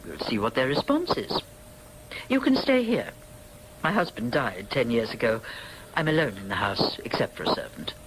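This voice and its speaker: An actress playing a stern, dignified, guilt-ridden older upper-class mother in a radio drama, speaking formally and with measured precision. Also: a bass voice, big pitch swings, slight background noise, rough recording. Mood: resolute.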